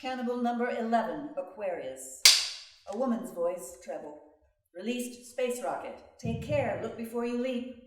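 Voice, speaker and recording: A woman's voice: fairly high pitch, lively intonation, normal speed, warm tone, a little hiss or hum. A woman declaiming short descriptive phrases in a dramatic scripted play reading. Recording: echoey hall, far from mic.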